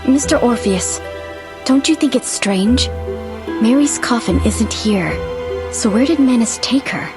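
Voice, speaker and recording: An actress playing a wary, inquisitive young journalist, pensive and questioning, in a video game cutscene. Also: female voice, fairly high pitch, unhurried, music in the background, natural voice.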